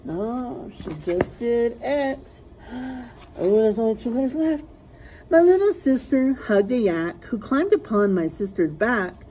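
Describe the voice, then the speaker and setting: A middle-aged woman reading a rhyming picture book aloud like a teacher to young children, sing-song and deliberate, stressing rhymes and letters. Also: on the high side, sing-song, mellow, a little hiss or hum, rough recording. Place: small classroom, indoors.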